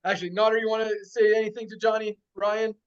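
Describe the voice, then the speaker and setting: A man's voice: sits high, level tone, quick pace, clean tone. A man hosting a company call, enthusiastic and full of praise, talking conversationally. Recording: dull lo-fi call audio.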